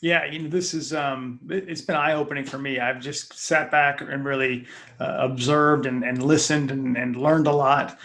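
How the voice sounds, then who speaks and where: A man speaking as a guest in a casual sports discussion, in a measured, hesitant way. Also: medium pitch, steady tone, a bit bright. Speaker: fan site founder guest.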